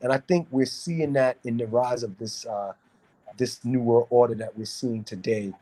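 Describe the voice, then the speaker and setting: A man from New City, talking casually and giving opinions in a halting way, repeating words as he searches for phrasing. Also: mid-range voice, warm tone, rough recording. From New York City, United States. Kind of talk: casual discussion, opinionated talk.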